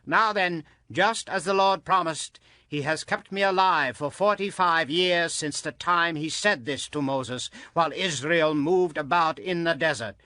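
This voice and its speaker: An actor playing a vigorous, devout 85-year-old warrior, earnest and declamatory, in a dramatized audio Bible. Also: male voice, fairly high pitch, unhurried, hardly any pauses, bright, forceful tone, no room sound, studio quality. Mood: determined, resolute.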